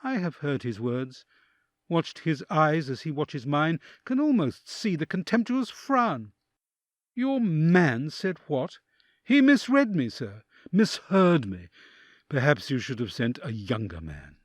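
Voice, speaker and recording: A man's voice: on the high side, sing-song, slow pace, no echo, clean studio sound, full character voice. A man playing a troubled, fearful and sympathetic envoy in an audio drama, delivering an intense, reflective interior monologue in theatrical style. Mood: anxious, shocked. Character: troubled male envoy, sympathetic, fearful, inwardly agonised listener.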